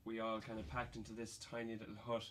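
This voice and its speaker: A man's voice: fairly low pitch, completely flat delivery, fast talker, a bit bright. A man with an Irish accent, a musician chatting casually in an interview. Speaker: band musician being interviewed. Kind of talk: casual chat.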